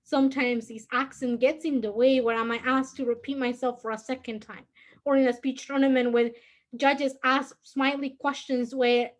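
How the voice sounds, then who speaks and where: Teenage girl, high voice, Guatemalan Spanish accent, earnest measured reading. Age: late teens. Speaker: student reader. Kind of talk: reading personal prose aloud.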